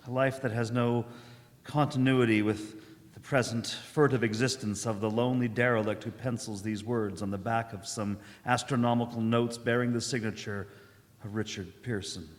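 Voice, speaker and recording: A man's voice: medium pitch, steady tone, moderate pace, lightly acted. A man playing a haunted, lonely professor who survived a catastrophe, in a dramatic radio monologue. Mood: bleak, haunted. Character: haunted professor, lonely derelict survivor narrating his despair. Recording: reverberant church hall.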